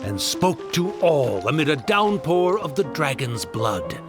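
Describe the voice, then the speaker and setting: A fairly high-pitched storyteller, reciting a dark fantasy legend with sweeping, animated intonation. The game narration is solemn and dramatic, over clear music. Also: male voice, measured pace.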